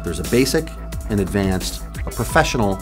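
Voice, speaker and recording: A man with a deep voice presents training programs in promotional style. Music is clearly heard behind him. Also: big pitch swings, normal speed. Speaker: music school spokesman.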